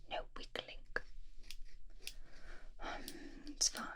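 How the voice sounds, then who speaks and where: A woman playing a doting, obsessive mad scientist who fusses over her creation in a cooing, breathy whisper, as an ASMR roleplay. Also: bright, forceful tone. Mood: gently scolding. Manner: cooing, unhurried, fussy endearments.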